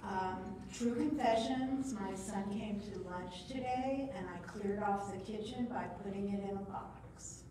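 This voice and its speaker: A woman's voice: mid-range voice, unhurried, few pauses. A woman in the audience asking a candid, self-mocking question. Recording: echoey room from far off, with noise.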